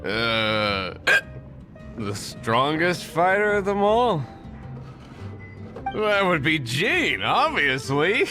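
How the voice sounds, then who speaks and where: An actor playing a cheerful, carefree, tipsy tavern drinker with a giggly, drawn-out way of talking in a video game dub. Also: male voice, a little high, sing-song, pushed and bright, faint music behind, exaggerated voice.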